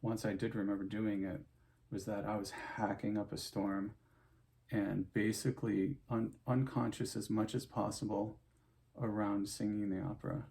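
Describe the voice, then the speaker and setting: A middle-aged man with a somewhat low voice and a gravelly, creaky edge talks straight to his audience. He is an opera singer telling stories from his career in a casual, meandering monologue, stopping and restarting his sentences, and he closes with kind advice to his viewers. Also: little pitch movement, normal speed. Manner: chatty, full of fillers and restarts, warm sign-off advice.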